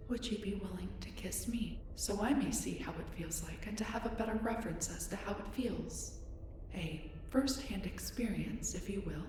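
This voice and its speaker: A woman's voice: mid-range voice, natural voice. A woman playing a curious, polite young female alien in an audio roleplay, speaking in formal, precise, reserved phrasing. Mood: earnest, inquisitive. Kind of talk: scripted roleplay audio drama addressed to listener. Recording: echoey, distant, noisy spaceship ambience.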